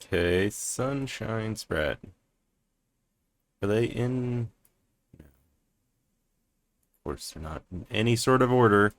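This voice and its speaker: Low-pitched man, slow gaming commentary. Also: expressive. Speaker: gaming channel host. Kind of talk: casual playthrough commentary.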